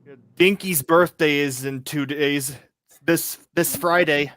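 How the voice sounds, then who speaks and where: A man's voice: fairly high pitch, fairly even intonation, a bit bright, dry recording. A twenty-two-year-old man hosting a stream, rambling with fillers and restarts. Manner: rambling, halting, full of fillers. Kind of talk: casual stream chat.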